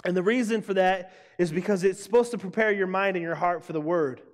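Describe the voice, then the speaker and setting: A young man preaching a sermon to students, emphatic and loud, repeating his key lines. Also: high voice, a little fast. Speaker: youth pastor. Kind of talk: youth sermon. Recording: long hall reverb.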